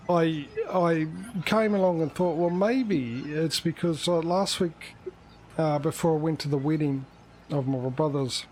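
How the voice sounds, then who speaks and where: A man hosts a livestream in a casual, rambling way. He speaks hesitantly at a middling pitch, circling back to correct himself. A faint noise sits behind his voice. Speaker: livestream host.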